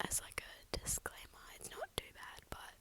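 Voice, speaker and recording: A woman with a cold, whispering softly and haltingly into a close mic for an ASMR poem reading. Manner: soft whisper, halting with fillers. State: congested with a cold, snuffly. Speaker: ASMR creator.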